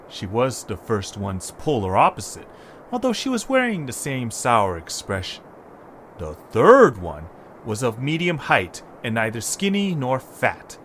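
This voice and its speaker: A man works as narrator for a scripted audio drama. His mid-pitched voice moves in lively, wide swings, recorded with faint background noise.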